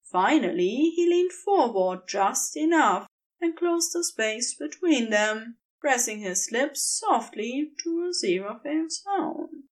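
Woman narrator, lively melodic intonation, unhurried, scripted fiction storytelling. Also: high-pitched, rough recording.

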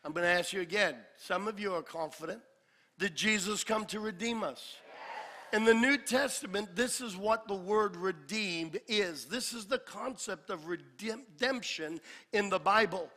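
Man, pastor, sermon, high-pitched, bright, shouted peaks, long hall reverb. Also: moderate pace. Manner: builds to shouted climaxes, then drops to a jokey aside.

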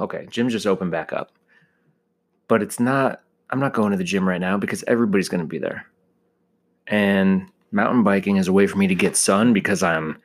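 An American man in his thirties rambling casually in a joking, self-deprecating way, full of exaggeration. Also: low voice. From United States. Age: 30s. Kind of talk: casual rambling solo monologue.

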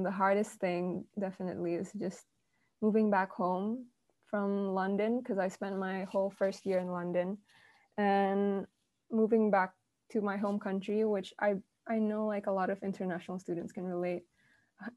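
Croaky-voiced young woman chatting, dry sound. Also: mid-range voice, mellow, no room sound. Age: young adult. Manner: rambling, lots of fillers. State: croaky morning voice. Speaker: university student. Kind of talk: casual group chat.

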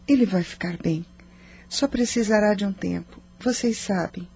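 Woman acting mellow, husky grieving aunt. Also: medium pitch, moderate pace. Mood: subdued grief. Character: grieving, composed aunt at a wake. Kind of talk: radio drama acting.

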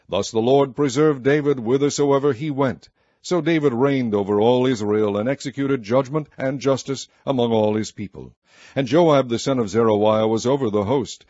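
A man reads scripture aloud at a slow, solemn, measured pace. His voice sits in a middle pitch. The recording is muffled and dry, with no room around it. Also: darkish tone, no room sound. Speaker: Bible reader. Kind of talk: scripture reading.

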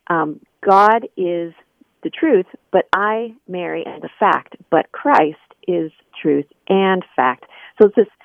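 A woman's slightly low voice comes through a narrow, dry telephone line. She is a guest in a radio interview, explaining in a conversational way and reaching for examples as she goes. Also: slow, creaky, no echo. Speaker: interview guest, editor and scholar. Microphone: phone.

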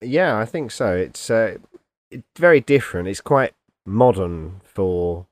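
A man's voice: on the low side, lively intonation, measured pace, darkish tone, no echo. A man co-hosting a podcast, chatting in an easygoing way. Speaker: podcast co-host. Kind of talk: casual chat.

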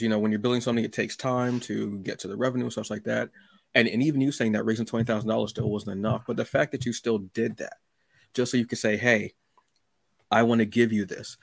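Gravelly man hosting a casual chat. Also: fairly low pitch, no room sound. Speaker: podcast host and interviewer.

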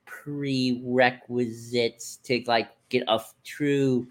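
A podcast co-host in casual discussion, speaking in a hesitant, halting way as if thinking aloud. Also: medium pitch, level tone. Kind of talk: casual discussion of franchise books and comics.

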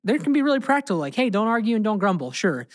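A man's voice: high-pitched, very animated, fast. An American man co-hosting a casual Bible discussion, speaking earnestly and emphatically, often repeating phrases for weight. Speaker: podcast co-host and Bible teacher.

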